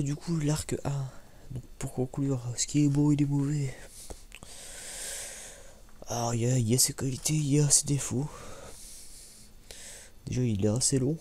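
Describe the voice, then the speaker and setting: Male ASMR creator, soft-spoken, creaky voice, halting casual delivery, noisy home-style recording. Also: mid-range voice. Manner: halting and rambling, repeats words, slangy.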